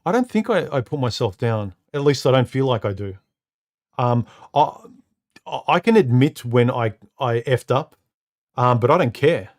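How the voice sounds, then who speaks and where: A man with a deep, somewhat muffled voice hosting a live stream from home into a microphone, talking casually and haltingly, repeating words and filling pauses with uh and um. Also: lively intonation, darkish tone. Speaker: live stream host reading comments. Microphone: studio microphone.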